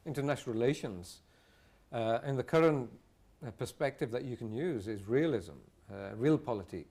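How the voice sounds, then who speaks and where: A man's voice: medium pitch, normal speed, mellow. A man speaking as a guest on a TV panel, earnest and emphatic with some fillers. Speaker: studio guest analyst. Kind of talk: TV panel discussion.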